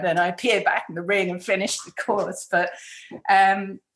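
A woman's voice: fairly low pitch, fairly bright tone. A woman hosting a casual interview, telling her own story. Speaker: interview host. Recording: echoey room.

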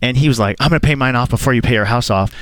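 A man's voice: medium pitch, rapid-fire, no room sound. A man of thirty telling an eager, rambling story of paying off his home as a guest on a call-in show. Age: 30s. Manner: eager, rambling, repeats himself. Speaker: caller sharing a debt-free story. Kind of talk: casual chat.

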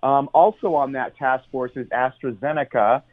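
An American man calls in to a radio interview and speaks in a medium-pitched voice. His sound is thin and phone-like. He explains in an earnest, roundabout way, full of ums and restarts. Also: normal speed. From United States. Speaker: journalist guest. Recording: tinny phone line.

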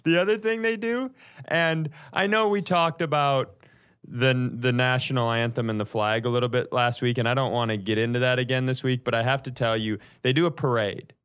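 A man's voice: medium pitch, steady tone, fairly quick, fairly bright tone, clear, resonant tone. A man co-hosting a comedy podcast, telling casual funny stories and laughing often as he goes. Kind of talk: casual comedic chat, storytelling.

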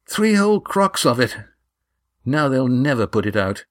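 A man's voice: on the high side, fast, a little mellow, no room sound, clean studio sound, pitched above the performer's own voice, lightly acted. A man voicing a young woman on the run in an audiobook, breathless, urgent and protective. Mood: breathless alarm.

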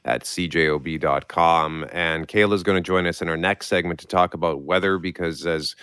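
A radio host, talking softly from his apartment while getting over a mild cold. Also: steady tone, moderate pace, clear, resonant tone, no room sound, studio quality. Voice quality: deep. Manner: quiet, low-key, speaking softly. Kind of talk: morning radio talk. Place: his apartment, working from home.

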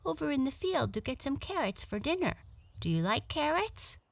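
A high-pitched, friendly little rabbit from a children's storybook, acted out by a woman reader. The voice is eager and childlike, swooping up and down with innocent chatter in a close, dry recording. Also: very animated, moderate pace, creaky, no echo, heavily acted.

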